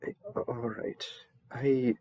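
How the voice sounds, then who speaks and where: Roleplay audio: polite, flustered male envoy, played by a man, stammering and deferential, very unhurried, dry sound. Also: medium pitch, flat delivery, mellow, no echo, lightly acted. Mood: awkward, hesitant. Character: flustered, polite young royal envoy mistaken for a servant.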